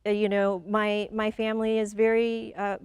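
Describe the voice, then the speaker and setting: A woman in her forties from Michigan talks through her story with a smooth, clear voice. She often repeats a word as she finds her thoughts and breaks into easy laughter. The sound is close and dry, with no room behind it. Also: medium pitch, monotone, moderate pace, darkish tone, no room sound. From Michigan, USA. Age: 40s. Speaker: guest. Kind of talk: conversational interview.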